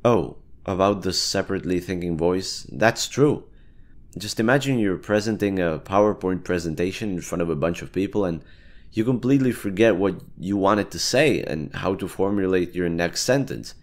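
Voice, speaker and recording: A man's voice: sits low, normal speed. A man voicing a chatty brain-eating amoeba who bargains persuasively and explains things casually in a one-sided audio roleplay. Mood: eager, reassuring.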